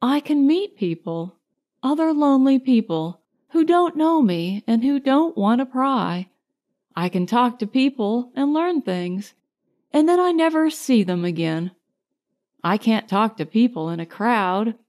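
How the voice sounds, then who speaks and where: Woman narrator doing a lonely young girl in a story, soft n quiet, wistful, friendly, slow. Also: a little high, expressive. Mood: candid, lonely.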